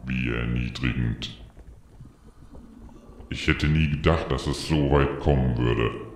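Slow, cavern-deep Satan. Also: male voice, steady tone. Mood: humiliated, indignant. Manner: slow, grandiose, menacing. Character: Satan, gloating, vengeful lord of hell. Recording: echoey, faintly noisy radio-play scene.

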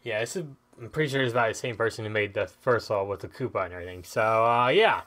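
A man's voice: lowish voice, quick pace, a bit bright. A man hosting a casual let's play, joking and rambling as he thinks aloud. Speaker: host of gaming videos. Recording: video game music behind the voice. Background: video game music.

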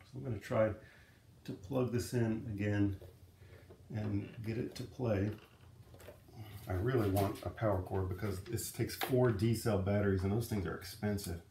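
A man's voice: on the low side, fairly even intonation, normal speed, vocal fry, mellow, slight background noise. A middle-aged man giving a casual, unscripted demonstration, talking conversationally and thinking aloud as he handles things. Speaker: vlogger, vintage audio hobbyist. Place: room at home, indoors.

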